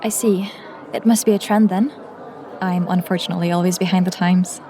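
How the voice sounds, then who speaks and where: A woman's voice: lowish voice, fairly even intonation, brisk, natural voice. A woman playing a courteous, guarded young woman in an audio drama, speaking formally and a little hesitantly. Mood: politely deferential. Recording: music and crowd murmur behind.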